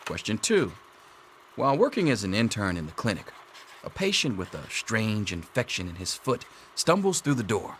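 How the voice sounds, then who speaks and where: An actor playing a dry, wry schoolteacher in a video game, sardonic and deadpan as he runs an exam. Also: fairly low pitch, slight background noise. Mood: dry, official. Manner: deadpan, sardonic, drawn-out emphasis.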